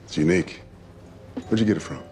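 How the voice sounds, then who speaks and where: A man's voice: fast, a little mellow, faint music behind, close to an everyday voice. An actor in a film playing a laid-back young man who talks in an easygoing, casually friendly way.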